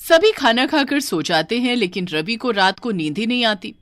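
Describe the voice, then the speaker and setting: A woman's voice: a little high, very animated, normal speed, a bit bright, no room sound, clean studio sound. A woman narrating a scripted horror story.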